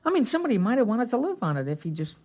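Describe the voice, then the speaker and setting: A man's voice: extremely high pitch, big pitch swings, rapid-fire, a little mellow, heavily acted. An actor playing an earnest, disapproving small-town boy who narrates in a 1950s radio drama. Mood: pensive. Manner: earnest, plain-spoken. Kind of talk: 1950s radio acting, first-person narration and dialogue. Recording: restored vintage radio recording.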